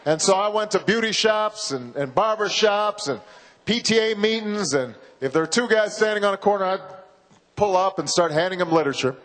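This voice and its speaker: Halting high-voiced man in a reverberant hall. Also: expressive, fairly bright tone. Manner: halting, measured, with many uhs and repeated words. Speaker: political candidate. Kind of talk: campaign speech. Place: large university hall.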